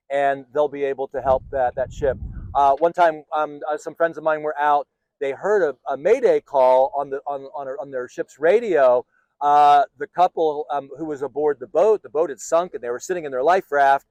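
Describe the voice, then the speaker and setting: A man giving informal, practical instruction as a sailing teacher, explaining step by step with some halting self-corrections. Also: on the high side, darkish tone, dry recording, rough recording. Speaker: sailing instructor and channel host. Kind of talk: informal instructional talk to viewers.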